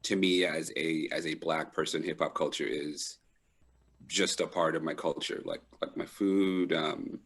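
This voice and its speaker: A middle-aged man with a very low voice talks in a reflective, conversational way. He is thoughtful and halting, often stopping to search for the right word, as a guest in an interview. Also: fairly even intonation, a bit bright, breathy edge. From Trinidad and Toronto, Canada. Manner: thoughtful, halting, pausing to find words. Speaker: panel guest. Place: live online video call.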